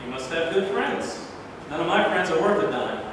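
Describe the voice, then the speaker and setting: Genial, commanding statesman in disguise, acted by a man; high, lively delivery, stage reading in a boomy hall. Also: normal speed. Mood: genial, courteous. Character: incognito American president, genial, talkative, commanding older man. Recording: echoey hall from far off, noisy.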